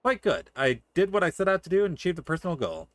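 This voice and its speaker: A man voicing a composed, formal alchemist from a fantasy game, in a measured, solemn manner, reading the dialogue aloud. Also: high voice, wildly swooping pitch, dry recording, pitched above the performer's own voice. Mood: composed, pleased. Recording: music behind the voice.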